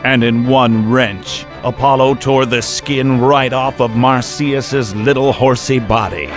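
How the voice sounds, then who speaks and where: Guy telling a story for radio, slow and dramatic, lots of pitch movement, music under it. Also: fairly even intonation. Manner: theatrical, measured phrasing. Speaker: narrator.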